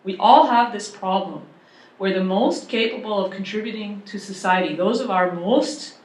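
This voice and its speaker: A woman's voice: mid-range voice, moderate pace, a little mellow. Wry woman tax professor. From Ontario, Canada. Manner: wry, chatty, self-deprecating. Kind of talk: conversational panel talk. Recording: echoey room.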